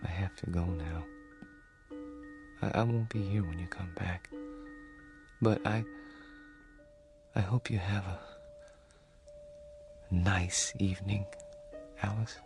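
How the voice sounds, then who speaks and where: Hesitant, low-voiced meek man. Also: steady tone, takes it slowly, pauses often. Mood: tender, wistful. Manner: hesitant, stammering, polite. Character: meek, awkward grown son, secretive and lonely dreamer. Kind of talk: radio drama acting. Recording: music bed under the dialogue.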